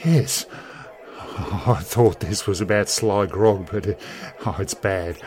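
A man playing a troubled, alarmed character in a radio play, speaking in a halting, agitated way. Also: on the low side, a little mellow. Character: troubled, alarmed man uncovering grim wrongdoing. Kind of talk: audio drama acting.

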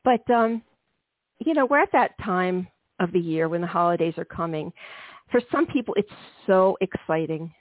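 Female podcast host, slightly gravelly, chatty with ums, dry tinny phone-line sound. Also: fairly low pitch, animated, normal speed, no echo. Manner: warm, chatty, many ums and soft laughs. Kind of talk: hosting a healing-themed interview podcast.

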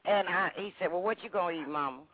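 Deep-voiced older woman on a tinny line. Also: fast talker. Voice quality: deep, big, strong voice. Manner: feisty, sassy. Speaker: show guest, comedian's mother. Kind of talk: casual storytelling on a call-in show. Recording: tinny phone-like line, clear noise, clicks.

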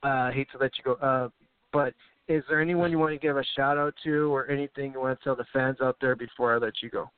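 An upbeat man hosts a wrestling talk show with a smooth, resonant voice. The sound is dry and tinny, like it came through a phone line. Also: medium pitch, steady tone, fast talker, no room sound. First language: English. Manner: upbeat, hype-man sign-offs. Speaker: podcast host. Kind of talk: casual show hosting.

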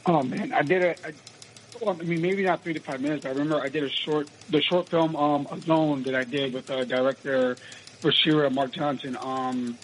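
A raspy-voiced young man from the United States, an actor answering interview questions in a casual, rambling way with many restarts. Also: on the high side, fairly even intonation, fairly quick, slight background noise, low-quality recording. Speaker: actor guest.

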